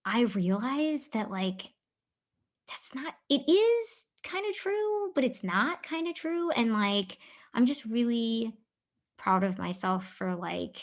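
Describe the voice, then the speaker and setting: A woman's voice: on the high side, very animated, slow. Candid woman in casual podcast chat. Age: late 30s. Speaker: podcast co-host being interviewed.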